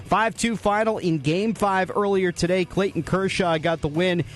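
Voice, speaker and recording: A man's voice: high voice, steady tone, moderate pace. A man hosting a radio post-game show, delivering a sports recap.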